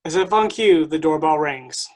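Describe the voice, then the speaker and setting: A woman's voice: deep voice, lively intonation, normal speed, a little mellow. A woman reading aloud and hosting at a staged play reading. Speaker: stage-directions reader and moderator.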